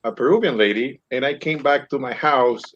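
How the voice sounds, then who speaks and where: A laid-back man chimes in on a casual group chat, laughing often as he tells his stories. His voice sits at an ordinary middle pitch and comes through a dull, muffled lo-fi mic. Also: fairly quick.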